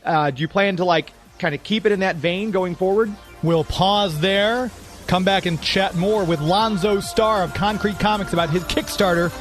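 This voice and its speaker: A man's voice: sits high, normal speed, soft background music. A man hosting a radio show in an upbeat, chatty way, moving between interview questions and sponsor reads. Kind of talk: interviewing and reading sponsor spots.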